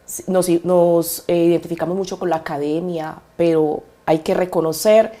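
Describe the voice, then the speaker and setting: Lower-voiced Colombian woman guest. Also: steady tone, normal speed, mellow. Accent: Colombian, from Cali. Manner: fond, reflective, hesitant with many fillers. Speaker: podcast guest. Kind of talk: interview, personal storytelling.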